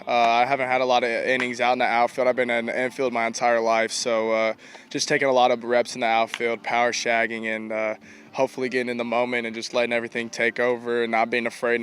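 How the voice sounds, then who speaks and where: A young man, a college ballplayer giving casual, informal interview answers with halting repetitions. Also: medium pitch, a bit bright. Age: young adult. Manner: informal, halting, repeats himself. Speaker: college baseball player being interviewed. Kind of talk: casual post-game style interview answers. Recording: clear outdoor ambient noise.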